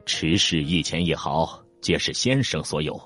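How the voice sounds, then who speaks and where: Loyal male retainer, acted by a man, historical audiobook drama. Extremely deep, anxious, deferential, faint music behind. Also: lively intonation, measured pace, a bit bright, exaggerated voice. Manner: deferential, earnest pleading.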